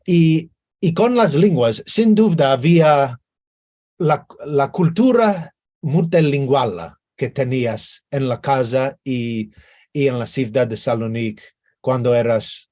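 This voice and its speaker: A man hosting an interview over a video call, asking questions in a halting way, searching for words and repeating himself. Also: medium pitch, darkish tone. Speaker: interview host.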